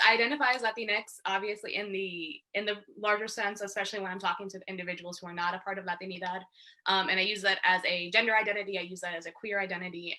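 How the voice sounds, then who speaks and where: A woman's voice: mid-range voice, pushed and bright. A woman from the Caribbean speaking as a panelist on a video call. She talks in an emphatic, articulate way. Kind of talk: panel discussion.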